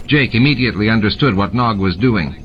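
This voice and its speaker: A man's voice: on the low side, expressive, fairly quick. A man narrating a scripted story as an audiobook reader. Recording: muffled, with clear background noise. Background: noise and ambient sound effects.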